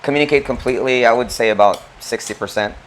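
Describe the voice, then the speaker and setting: A man's voice: medium pitch, quick pace, a little hiss or hum, rough recording. A man from the Philippines, co-hosting a casual chat and explaining his points step by step. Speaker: co-host.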